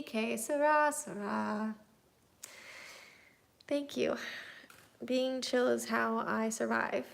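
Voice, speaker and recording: Slow gravelly woman in her thirties. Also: a little high, a bit bright. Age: 30s. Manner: easygoing, chatty, reads out and replies to comments. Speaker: livestream host. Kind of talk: casual live chat answering viewer comments.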